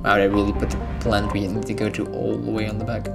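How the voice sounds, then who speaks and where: A man whose first language is Dutch, talking casually through a gameplay session with frequent ums and soft chuckles. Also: on the low side, little pitch movement, fast, creaky. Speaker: gamer. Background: video game music.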